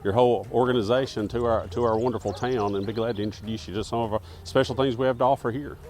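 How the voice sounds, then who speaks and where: A man's voice: medium pitch, fairly even intonation, fast talker. A man, a town mayor being interviewed outdoors in a park. He talks in a friendly way and often repeats words as he starts a phrase.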